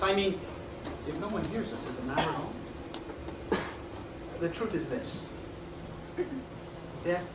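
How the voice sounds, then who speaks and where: A man playing a weary, nostalgic man in his fifties, speaking deliberately and reflectively in a theatrical stage performance. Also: a little high, lightly acted. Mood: somber. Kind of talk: theatrical stage monologue and dialogue. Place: small indoor theatre stage. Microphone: far camera. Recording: echoey theatre stage, distant noisy camera mic.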